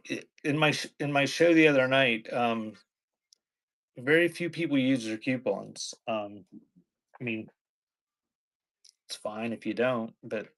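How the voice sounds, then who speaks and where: Male livestream auction host, medium-pitched voice, casual and halting, rambling delivery.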